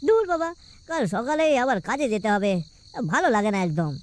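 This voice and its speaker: Woman voicing a high-pitched, whining, lazy village man. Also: sing-song, fairly quick. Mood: grumbling. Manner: whining, grumbling. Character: lazy, grumbling poor village husband Bimal. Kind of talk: cartoon voice acting.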